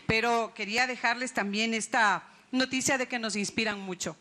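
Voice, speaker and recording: A woman's voice: on the high side, steady tone, normal speed, pushed and bright. A woman with an Ecuadorian accent asking a spontaneous question from the audience at a public event.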